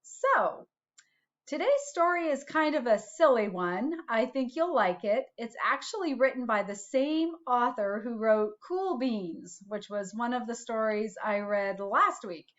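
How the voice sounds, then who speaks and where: A woman's voice: fairly high pitch, expressive, unhurried, lo-fi sound. A woman, a school principal, speaking simply to young children as she presents a picture-book read-aloud. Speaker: school principal reading to pupils.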